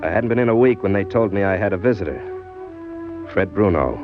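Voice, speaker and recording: A man's voice: sits low, animated, a little fast. A man playing a cocky, sly small-time crook in an old radio crime drama, talking and narrating in tough, wisecracking slang. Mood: wry narrating. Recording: dull vintage radio recording, music behind.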